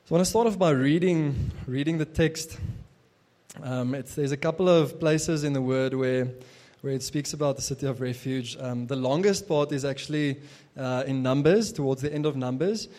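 A man's voice: medium pitch, normal speed, dark tone. Afrikaans-native man preaching with fillers. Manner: casual, hesitant with many fillers and restarts. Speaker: pastor. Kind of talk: sermon.

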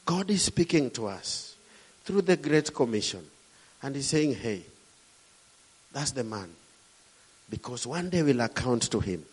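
A man's voice: medium pitch, sing-song, unhurried, long pauses, breathy edge, rough recording. A middle-aged man from Kenya with a Kenyan accent, preaching a sermon with emphatic, rising and falling delivery.

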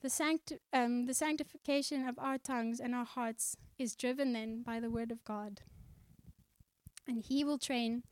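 A woman from South Africa preaching a sermon in the Netherlands. She speaks earnestly and conversationally, slowing down when she reads scripture aloud. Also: fairly high pitch, steady tone, darkish tone, clear, resonant tone. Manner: conversational, with fillers and restarts.

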